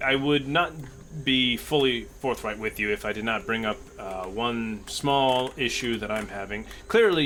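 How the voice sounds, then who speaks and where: A man playing a courteous human starship captain in a tabletop roleplay, speaking formally in halting, wordy, diplomatic phrases. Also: lowish voice, bright, forceful tone, slight background noise. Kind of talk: improvised tabletop roleplay acting.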